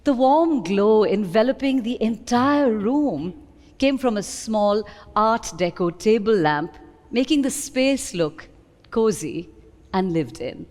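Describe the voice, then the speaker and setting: A woman with an Indian accent giving an expressive, deliberate dramatic reading of literary prose. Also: mid-range voice. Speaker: reader at a book event. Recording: long hall reverb.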